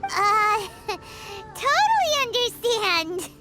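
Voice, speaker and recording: A woman voicing a small, childlike, bubbly fairy companion with a squeaky voice in a video game. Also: sing-song, drawn-out words, full character voice. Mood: bemused. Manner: bubbly, exclamatory, blunt. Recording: music behind the voice.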